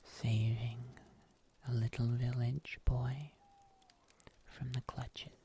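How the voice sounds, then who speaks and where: Seasoned fantasy huntsman, played by an ASMR performer: hushed breathy whisper, mellow, very unhurried storytelling. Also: mid-range voice, little pitch movement, a little hiss or hum. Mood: quietly proud. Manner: slow, deliberate, hushed storytelling with long pauses.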